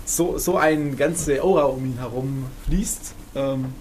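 A man's voice: medium pitch, takes it slowly, a little mellow, low-quality recording. A man co-hosting a podcast, explaining things in casual, conversational talk. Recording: faint background noise.

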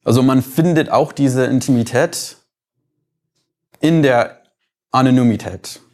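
A man's voice: medium pitch, unhurried, darkish tone. A man from the United States with an American accent, giving an explanatory lecture, thoughtful and sometimes searching for words.

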